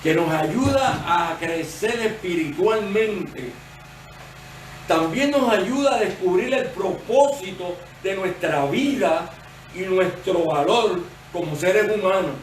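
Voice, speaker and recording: A man with a Puerto Rican accent preaching a sermon as associate pastor, in a measured, explanatory way. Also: high voice, animated. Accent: Puerto Rican Spanish. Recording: roomy, distant church recording with faint noise.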